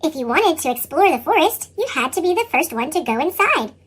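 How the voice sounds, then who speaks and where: A woman reading a children's picture book as an earnest young boy, with a squeaky pitched-up chipmunk effect. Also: a little fast. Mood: earnest, chirpy. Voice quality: squeaky, sped-up chipmunk sound. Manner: animated children's read-aloud delivery. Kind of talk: scripted picture-book narration.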